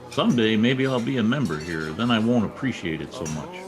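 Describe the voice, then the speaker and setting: A man playing a bashful, folksy reporter courting his sweetheart in an old-time radio comedy, with a hesitant, halting manner. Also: lowish voice, animated, music in the background, close to an everyday voice. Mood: breezy, jovial. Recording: video-call radio play recording.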